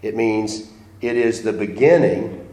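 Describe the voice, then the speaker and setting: A man's voice: on the low side, slow pace, dark tone, reverberant. A congested man with the flu teaching a sermon and reading scripture aloud in old-fashioned wording. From United States. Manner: reads scripture aloud in King James wording. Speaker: Bible teacher.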